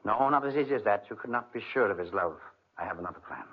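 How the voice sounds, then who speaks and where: Sly older schemer, husky, swooping voice. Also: male voice, on the low side, expressive, very fast. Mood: sly persuasion. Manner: insinuating, coaxing. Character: sly, older guardian uncle, smooth manipulative schemer. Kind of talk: 1940s radio acting. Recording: muffled vintage 1940s radio recording.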